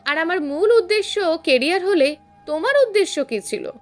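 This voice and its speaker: A woman's voice: very high-pitched, lively intonation, moderate pace, faint music behind, voice pushed up. A woman voicing a blunt, unashamed young husband, a defiant doctor, in an audio story. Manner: firm, blunt.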